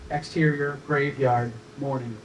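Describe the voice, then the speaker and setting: Male narrator, medium pitch, scripted stage directions, live table read, distant mic, slight noise. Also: steady tone, a little slow.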